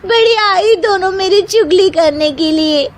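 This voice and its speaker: A woman plays a whiny young Indian daughter-in-law in a moral-story cartoon. Her voice is extremely high and unhurried, full of petulant, exaggerated bickering. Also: noisy background. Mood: indignant.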